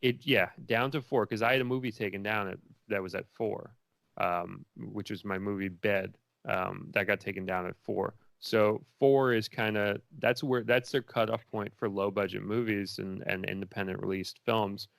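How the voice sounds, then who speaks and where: A man's voice: fairly low pitch, steady tone, normal speed, gravelly, fairly bright tone, dry recording. A man answering interview questions as a filmmaker in a rambling, informal way full of filler phrases. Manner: rambling and informal, many likes and you knows.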